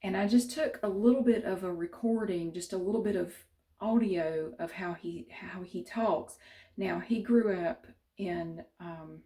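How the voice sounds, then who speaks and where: A woman's voice: fairly low pitch, dark tone. A middle-aged woman from central North Carolina with a Southern accent, chatting casually to camera with many restarts. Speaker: vlogger.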